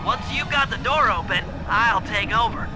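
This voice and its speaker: A man's voice: sits high, lively intonation, normal speed. A man voicing a nerdy, earnest young turtle strategist in a cartoon game, with precise, wordy delivery. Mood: earnest briefing. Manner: precise, wordy technical briefing. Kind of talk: video game cartoon voice acting. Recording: loud game music behind.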